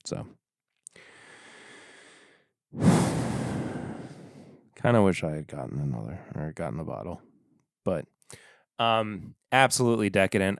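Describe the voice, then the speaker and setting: Podcast host, man, unhurried and lowish, rambling delivery with fillers, a bit hoarse. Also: no room sound, clean studio sound. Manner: relaxed, rambling, many fillers and restarts. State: slightly hoarse. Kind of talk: casual solo review talk.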